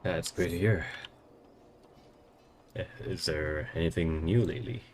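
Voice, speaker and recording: A man streaming a game and chatting casually with viewers, his voice hoarse and coarse from a cough. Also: deep voice, a little slow. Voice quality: coarse, strained voice. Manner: friendly, greets and thanks chat viewers.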